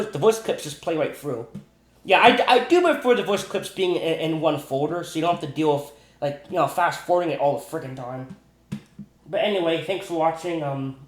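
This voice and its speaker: A man giving rambling, casual gaming commentary, stumbling over and repeating his words, with slangy enthusiasm. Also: fairly high pitch. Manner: repetitive, stumbling, restarts sentences often. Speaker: gaming video creator. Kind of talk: rambling casual commentary on video game glitches. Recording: roomy, echoing home recording.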